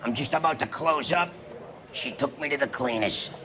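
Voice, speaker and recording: A weary, resigned bookmaker, acted by a man, quiet and flat in his replies. Clear background noise runs beneath his mid-pitched voice. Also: normal speed, close to an everyday voice. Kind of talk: game cutscene acting.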